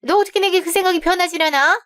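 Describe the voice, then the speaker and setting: A woman's voice: very high voice, rapid-fire, fairly bright tone, pitched above the performer's own voice. A woman voicing a haughty, scornful middle-aged future mother-in-law in a radio drama, talking down and scolding. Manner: condescending, scolding, talks down.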